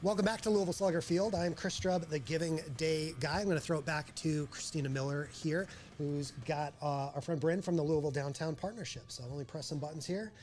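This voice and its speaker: A man's voice: on the high side, fairly quick, a little mellow, slight background noise. An American man presenting a livestream as host in an upbeat, informal and enthusiastic manner.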